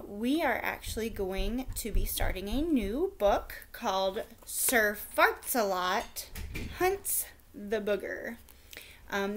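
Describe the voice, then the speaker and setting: A woman teacher reading a chapter book aloud to children with expressive, animated storytelling. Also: mid-range voice, a little hiss or hum.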